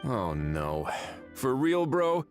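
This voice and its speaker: A man's voice: medium pitch, sing-song, drawn-out words, faint music behind. An actor playing a casual, reluctant man in a video game, drawling and hesitant, awkwardly polite. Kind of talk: video game character acting.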